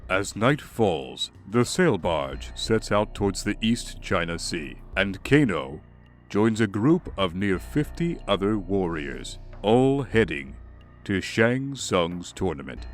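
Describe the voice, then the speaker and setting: A man's voice: deep voice, big pitch swings, slow pace, soft background music. A man giving dramatic scripted narration for a comic dub.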